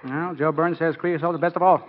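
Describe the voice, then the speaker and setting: An actor playing an earnest, modest young football coach in 1940s radio style, with a hesitant boyish manner. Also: male voice, a little high, steady tone, fairly quick, a little hiss or hum, natural voice. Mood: sheepish, lively. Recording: muffled 1940 radio broadcast.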